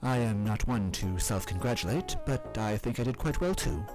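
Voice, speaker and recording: A man voicing a calm, modest wooden doll warrior with a formal, measured manner, in a story reading. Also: lowish voice, soft background music, rough recording. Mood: solemn approval.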